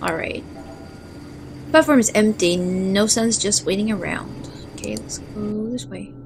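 A woman narrating her playthrough of a game, chatting, reading text aloud and reacting with quick startled exclamations. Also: medium pitch, creaky, background noise. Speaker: gaming video host.

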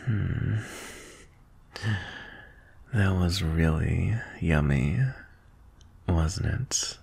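A young man playing a shy, adoring best friend in an intimate boyfriend ASMR roleplay, speaking softly and hesitantly. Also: low-pitched, very slow, full character voice. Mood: caring, surprised. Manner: hesitant, soft-spoken, breathy sighs and nervous chuckles. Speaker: audio roleplay performer.

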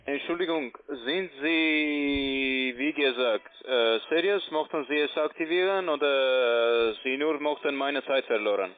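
A man's voice: mid-range voice, unhurried. A man posing as tech support, a native English speaker speaking broken German with faulty word order, pushing instructions. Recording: tinny phone line.

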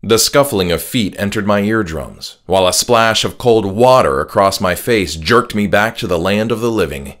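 Low-voiced man narrating horror. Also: expressive, moderate pace, hardly any pauses, a bit bright. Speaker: author and audiobook narrator. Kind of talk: scripted horror narration, first person. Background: music.

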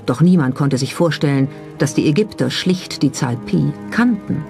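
Documentary narrator, mid-pitched and relaxed, scripted delivery, light music bed. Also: moderate pace, vocal fry. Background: background music.

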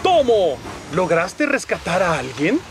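A man's voice: high voice, very animated, slow pace, a little hiss or hum, full character voice. A man voicing a friendly, easygoing male locomotive in a Latin American Spanish cartoon dub. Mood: easygoing, amused. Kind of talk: animated children's series dubbing. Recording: music behind the voice.

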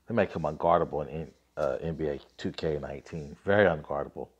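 A man's voice: deep voice, slightly rough. A man in his thirties with a cold, hosting a casual basketball podcast, reading off scores and ranting in an offhand way on a phone mic. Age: 30s. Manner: offhand, lots of fillers, reads scores aloud. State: getting over a cold, coughing. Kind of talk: casual sports recap and rant. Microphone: phone, selfie framing.

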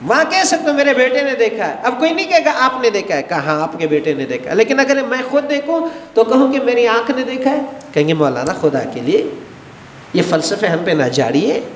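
A man's voice: very high voice, normal speed, reverberant, big-room sound, a little hiss or hum. A middle-aged man giving a religious lecture, acting out little dialogues and gesturing broadly, on a headset mic. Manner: animated, gestures widely, acts out everyday dialogues. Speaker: Shia cleric lecturing. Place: studio with a blue backdrop, indoors.

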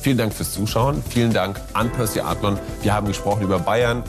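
A man's voice: fairly low pitch, steady tone, fast, music in the background. A man in his forties hosting an informal television interview in a studio, with a teasing, playful manner. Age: 40s. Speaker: talk-show host, interviewer.

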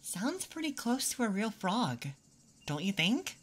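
A woman doing a casual ASMR roleplay, talking softly and relaxed, drifting into whispers. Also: medium pitch, measured pace. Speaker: ASMR performer. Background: wooden toy sounds, faint ambience.

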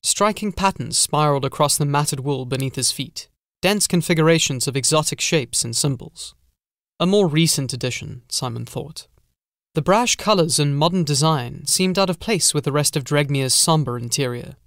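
A man's voice: on the high side, animated, normal speed, a bit bright, dry recording, clean studio sound. A man reading scripted gothic horror narration as an audiobook narrator.

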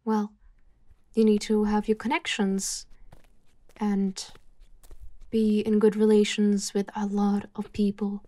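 A woman playing a calm, worldly mafia boss in an ASMR roleplay, speaking softly and intimately as she gently advises an employee. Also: mid-range voice, no echo. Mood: wry, resigned.

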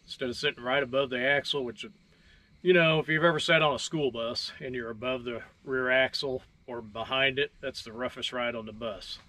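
A man's voice: mid-range voice, steady tone, fairly quick, pushed and bright, no echo. A man hosting a farm video, casually explaining tractor features in a plainspoken, folksy way and thinking aloud as he goes.

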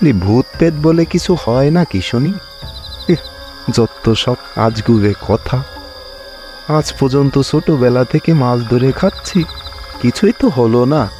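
A man's voice: mid-range voice, takes it slowly, mellow, faint music behind, pitched above the performer's own voice, lightly acted. A man voicing a stubborn, dismissive village father who fishes, in a horror cartoon story. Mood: irritated, scoffing. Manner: dismissive, scolding.

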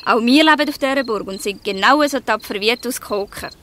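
A woman's voice: on the high side, brisk, a bit bright, close to an everyday voice. A woman playing a proud, spirited young gang leader in a Swiss German audio drama. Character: proud, bold young girl leading a gang. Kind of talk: dialect audio drama acting.

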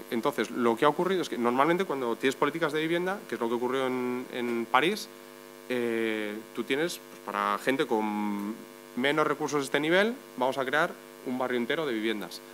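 A man's voice: mid-range voice, a bit bright, rough recording. A man speaking on a debate panel, explaining step by step and posing rhetorical questions. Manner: explanatory, builds points with lists and rhetorical questions. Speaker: journalist on a panel. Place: meeting room. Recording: faint mains hum.

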